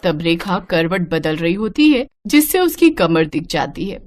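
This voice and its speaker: A woman narrating a scripted cartoon family story in an expressive storytelling manner. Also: fairly low pitch, a little slow, slight background noise.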